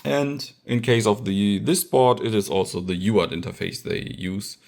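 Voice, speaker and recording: A man's voice: on the low side, moderate pace, gravelly, darkish tone. A man giving a casual hands-on tech tutorial, thinking aloud as he demonstrates. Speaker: electronics hacker presenting own project.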